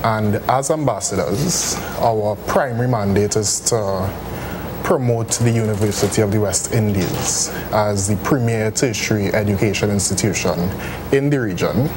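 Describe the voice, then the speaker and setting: A man's voice: on the low side, normal speed. A young man from Trinidad and Tobago, a student guest explaining his program formally in an interview. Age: young adult. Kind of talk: interview answers. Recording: noisy TV recording.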